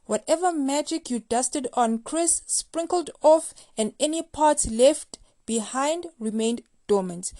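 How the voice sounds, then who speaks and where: A woman's voice: a little high, mellow, no echo. A woman hosting a recap show, reading scripted commentary in a playful, gossipy, slangy way. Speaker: host of a reality TV recap show.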